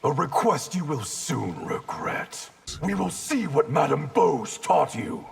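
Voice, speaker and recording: A man playing a cold, contemptuous ninja clan master with a gravelly voice, in video game fight banter. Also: a little high, wildly swooping pitch, slow, exaggerated voice. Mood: cold menace. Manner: curt, disdainful, threatening.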